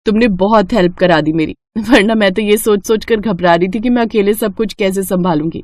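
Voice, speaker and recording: A woman plays a young daughter-in-law in a storybook family drama. She is polite, earnest and keen to please, mid-pitched and recorded dry. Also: fairly quick, a little mellow, dry recording, natural voice. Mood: friendly, earnest. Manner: deferential, earnest. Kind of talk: acted Hindi family storybook dialogue.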